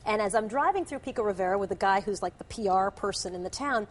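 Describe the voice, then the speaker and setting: A woman TV journalist speaking in a quick, animated and personal way during a panel discussion. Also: medium pitch.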